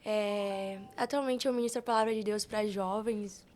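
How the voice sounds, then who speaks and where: A woman's voice: mid-range voice, steady tone, normal speed, a little mellow. A woman of eighteen with a Brazilian accent, talking casually as a guest on a podcast. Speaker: podcast guest, youth preacher.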